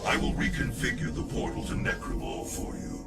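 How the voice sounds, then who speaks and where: An actor playing a solemn, knowing guide in a video game, speaking in a formal, measured, grave manner. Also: male voice, lightly acted. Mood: matter-of-fact, instructive. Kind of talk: scripted game cutscene narration. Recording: distant voice under loud music.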